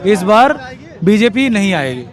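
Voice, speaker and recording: A man from Gorakhpur speaks up in an outdoor street interview, confident and emphatic about his views. His voice sits very high. A faint crowd murmurs behind him. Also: sing-song, a little slow. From Gorakhpur, India. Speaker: member of the public at a street debate. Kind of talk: street vox pop opinion.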